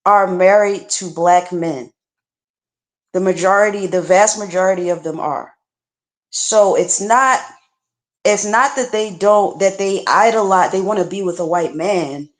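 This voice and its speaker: A woman's voice: mid-range voice, a little slow. A woman speaking bluntly and frankly as a panelist in a casual livestream discussion, often restarting her sentences. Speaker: podcast panelist.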